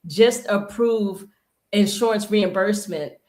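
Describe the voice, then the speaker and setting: Brief: woman guest, fitness pro, rambling with many restarts, middle pitch, echoey room. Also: measured pace, darkish tone. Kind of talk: live-stream conversation.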